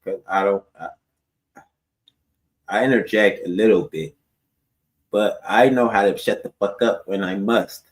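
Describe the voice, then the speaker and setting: A man with a squeaky voice, arguing as a podcast guest and defending himself, going from calm to loud. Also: measured pace, long pauses. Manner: defensive, swings between quiet and loud. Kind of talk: heated debate.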